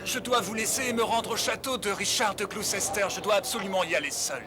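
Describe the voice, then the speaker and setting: A man voicing a brave, earnest young knightly hero in a French animated adventure dub, speaking formally and resolutely. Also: high-pitched, a little fast, over music, lightly acted.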